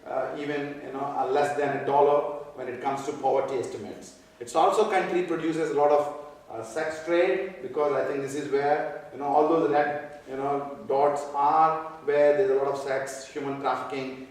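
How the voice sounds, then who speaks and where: A man from Nepal giving a conference talk on social work, halting and full of fillers and repeated words. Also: a little high, steady tone, darkish tone. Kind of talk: conference presentation. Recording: echoey hall, speaker far from mic.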